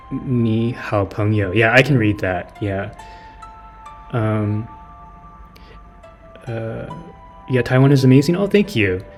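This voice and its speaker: A man streaming a game and chatting casually with his viewers, rambling with many restarts. He is from California, and his first language is Mandarin. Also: medium pitch. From California, near San Francisco. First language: Mandarin Chinese. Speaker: gaming streamer. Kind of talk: casual live-stream chat, reading chat.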